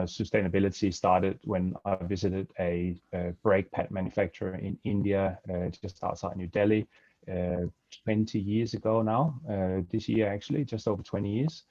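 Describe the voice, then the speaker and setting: A man's voice: deep voice, mellow, dry recording. A middle-aged man from Denmark with a Danish accent, giving a hesitant webinar talk full of fillers from a home office over a headset. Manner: hesitant, many fillers and restarts. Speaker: webinar guest speaker. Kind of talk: webinar presentation. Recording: dry, dull video-call audio.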